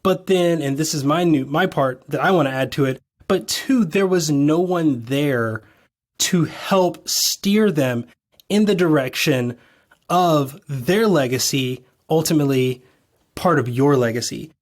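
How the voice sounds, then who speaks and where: A podcast host whose voice is a little raspy, tired from too little sleep. The host talks at a middling pitch and builds long, enthusiastic questions in a relaxed conversational way. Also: unhurried. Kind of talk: conversational interview.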